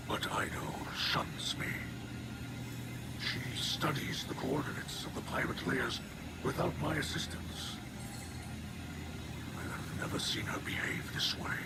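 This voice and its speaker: A man's voice: medium pitch, heavy background noise, natural voice. An actor playing a grave, anguished alien leader and father in a video game, speaking in solemn, formal phrases. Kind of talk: dramatic video game acting.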